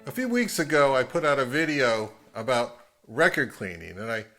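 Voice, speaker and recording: A man narrating a casual product review in a measured, wry and mildly critical manner. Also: medium pitch, sing-song, bright, forceful tone, soft background music.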